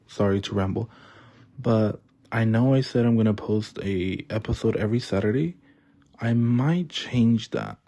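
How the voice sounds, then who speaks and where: A young man speaks slowly and earnestly in a solo podcast monologue, circling back on his words with fillers. His voice sits low and mellow. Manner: earnest, rambling, repeats himself with fillers. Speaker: podcast host.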